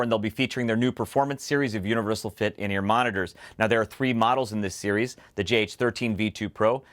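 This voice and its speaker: A man hosting a scripted product preview, explaining details in a measured way and spelling out model names clearly. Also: fairly low pitch, a bit bright. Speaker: host and headphone reviewer.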